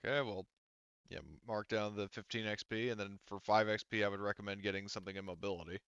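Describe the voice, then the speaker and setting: A man's voice: fairly low pitch, flat delivery, fairly bright tone, clear, resonant tone, dry recording, dull audio. A man running a tabletop game as game master, talking casually and correcting himself as he explains the rules. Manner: halting, self-correcting explanations. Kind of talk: casual tabletop gaming chat.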